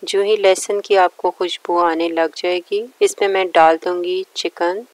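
A woman's voice: lowish voice, fairly even intonation, unhurried, no echo, rough recording. A woman narrating a recipe step by step in a plain, instructional way. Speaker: cooking show host.

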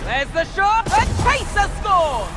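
Video game match commentator: very high, animated voice, urgent exclamatory calls, excitable temper. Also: female voice, slow, heavy background noise, exaggerated voice. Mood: excited. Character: excitable fantasy broom-sport match commentator. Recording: music behind the voice.

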